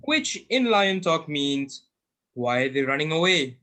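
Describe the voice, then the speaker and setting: A man's voice: a little high, big pitch swings, measured pace, sharp tone. A young male student narrating a children's animal tale as scripted readers theater. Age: teenager or young adult student.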